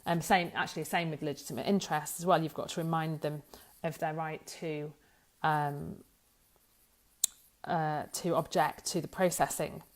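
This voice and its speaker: A woman speaks as an expert walking listeners through a practical explainer. Her voice sits a little on the low side. She talks informally and hesitantly, working out her phrasing aloud and correcting herself along the way. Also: fairly even intonation, moderate pace. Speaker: data protection law expert presenting an explainer. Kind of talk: informal explanatory talk to camera.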